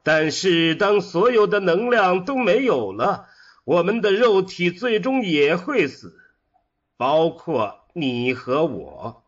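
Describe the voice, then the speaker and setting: A male actor plays an immortal wandering mind in a sci-fi radio play. The voice is fairly high and very unhurried, curious and melancholy. The recording is a little dull. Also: big pitch swings, full character voice. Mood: sad, troubled. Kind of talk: audio drama dialogue.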